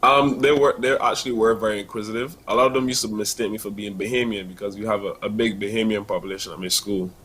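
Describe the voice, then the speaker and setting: A young man from Barbados with a Barbadian accent, a university student answering interview questions earnestly, with many pauses and restarts. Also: fairly low pitch, steady tone, a bit bright, slightly rough, rough recording.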